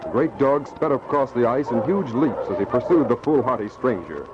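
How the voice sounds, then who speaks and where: A man's voice: medium pitch, animated, moderate pace, natural voice. A man narrating a frontier adventure serial as a vintage radio announcer, grand and theatrical. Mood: suspenseful. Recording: muffled, noisy old radio recording.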